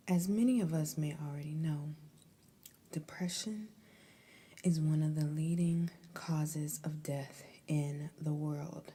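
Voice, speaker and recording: Female ASMR meditation host, breathy whisper, very mellow and dark, unhurried pace, soothing. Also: low voice. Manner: soothing, deliberate, with pauses between phrases.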